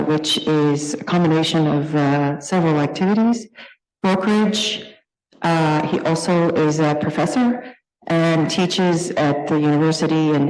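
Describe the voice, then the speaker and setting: A woman's voice: low-pitched, a little mellow. A woman moderating a conference session, informally introducing a speaker in a large room. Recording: echoey, reverberant conference hall.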